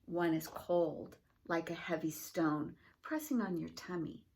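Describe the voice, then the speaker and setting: A woman reading a children's storybook as a tender, earnest little girl, in gentle, deliberate, lyrical phrases. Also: fairly low pitch, a little hiss or hum. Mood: earnest, explaining.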